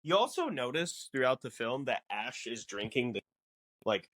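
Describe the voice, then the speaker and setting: A man talks through a film as a casual podcast co-host. His pitch moves around in a lively way while his explaining is halting and conversational. The recording is close and dry. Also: dry recording.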